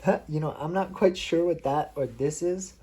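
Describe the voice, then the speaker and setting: A man reading picture books aloud as a father at bedtime, playfully pausing to chat with his child. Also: fairly high pitch, dark tone, slightly rough. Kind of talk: read-aloud picture book storytelling mixed with casual chat.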